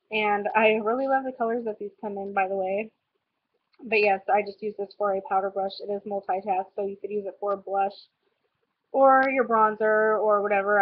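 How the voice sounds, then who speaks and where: A young woman chats through a makeup tutorial, her voice stuffy and nasal from a cold. She keeps a flat, even tone and rambles along with lots of "like" and "um". The recording sounds a little dull and lo-fi, with faint noise behind her. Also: medium pitch. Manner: chatty, rambling with many "um" and "like". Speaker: beauty vlogger. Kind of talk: casual makeup tutorial chat. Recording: slightly dull lo-fi home recording.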